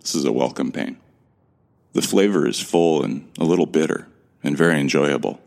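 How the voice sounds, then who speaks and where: A man plays a lost amnesiac from Earth narrating a sci-fi audio drama. His voice is extremely deep with a heavy creak. He is measured and reflective, with a bewildered, earnest streak. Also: a little mellow. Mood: introspective, tense.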